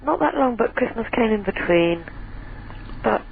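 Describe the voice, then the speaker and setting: A woman calling in to a radio phone-in, chatty and giggly, her voice a little hoarse. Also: a little high, lively intonation, moderate pace, slight background noise. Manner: chatty, giggly, self-deprecating. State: voice going hoarse. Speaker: caller. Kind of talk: phone-in chat. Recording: tinny phone line.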